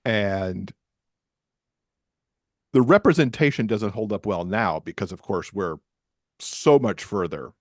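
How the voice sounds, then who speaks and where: Chatty man, low-pitched, close dry sound. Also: lively intonation, slow pace, long pauses, no echo. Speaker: podcast guest, author. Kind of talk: casual conversational interview.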